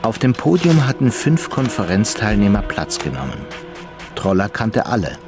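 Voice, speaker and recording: A man's voice: lowish voice, huge pitch swings, over music. Man narrating a crime radio play. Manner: measured storytelling.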